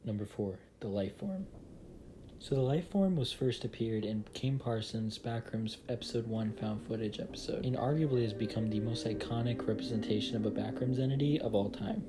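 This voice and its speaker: A man's voice: on the low side, moderate pace, low-quality recording. A young man hosting a casual countdown commentary, emphatic and repeating words for stress. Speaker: video host. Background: loud music with guitar.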